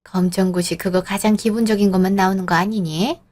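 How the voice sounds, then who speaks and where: A woman's voice: medium pitch, fairly even intonation, a little fast, a little mellow, close to an everyday voice. A woman voicing a snobbish, condescending mother-in-law in her sixties who lectures in an audio drama. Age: 60s.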